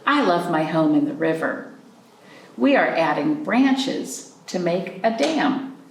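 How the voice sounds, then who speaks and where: A woman with a lowish, gravelly-edged voice reads and chats to young children as a storytime host. Her delivery swings up and down in an animated way, with simple questions put to the listeners. It is recorded in a roomy space from a distant mic. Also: takes it slowly, a little hiss or hum. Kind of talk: picture-book reading and chat for young children.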